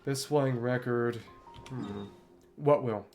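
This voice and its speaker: A man's voice: medium pitch, takes it slowly, darkish tone. A man giving casual gameplay commentary as a streamer, thinking aloud and reading game text out as he plays. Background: game music.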